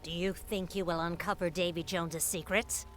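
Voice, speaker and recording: A woman's voice: on the low side, level tone, normal speed, lightly acted. A woman voicing a mysterious, scheming voodoo priestess in a video game, theatrical and enticing. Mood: sly, challenging. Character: mysterious, scheming voodoo priestess seeking her lost power. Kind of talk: video game character acting. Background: music.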